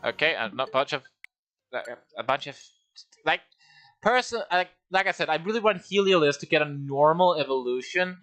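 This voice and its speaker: A man's voice: on the high side, expressive, normal speed, a bit bright, soft background music. A man streaming a game, rambling and joking at himself as he talks through it. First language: Danish. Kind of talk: casual game livestream commentary.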